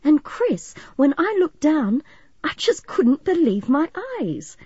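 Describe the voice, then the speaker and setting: Warm, eager teen girl played by a female narrator, high and animated, concerned, audiobook. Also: measured pace, no echo, lo-fi sound. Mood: excited. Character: warm, excitable, sympathetic teenage girl.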